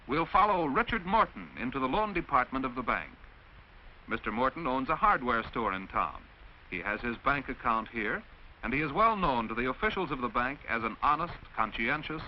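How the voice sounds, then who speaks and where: An American man reads a scripted mid-century instructional film narration in a didactic, measured, clearly enunciated way. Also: mid-range voice, very animated. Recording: dull, noisy vintage film soundtrack.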